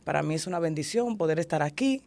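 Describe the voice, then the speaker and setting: A woman's voice: low-pitched, animated, fast, gravelly, a little mellow. A woman with a Dominican accent, a prophet and ordained minister, earnestly telling her life story as a guest in an interview. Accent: Dominican Spanish. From Dominican Republic. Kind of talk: testimony in an interview.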